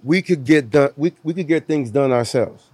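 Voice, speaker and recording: A man's voice: medium pitch, moderate pace, a little mellow, no room sound. A man in his forties from Puerto Rico, a guest musician talking casually and laughing often. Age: 40s. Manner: laid-back, laughs often, repeats "you know what I'm saying". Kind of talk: casual interview chat.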